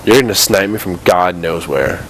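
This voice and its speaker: A man chatting casually while playing a video game, excitable and given to mock ranting, on a noisy recording. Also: deep voice, normal speed. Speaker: gaming video host and player. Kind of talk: casual banter while gaming.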